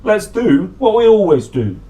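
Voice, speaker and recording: A man's voice: high-pitched, very animated, a little slow, warm tone, voice pushed up. Man doing a grumbling, slobby fat dog. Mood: suggesting.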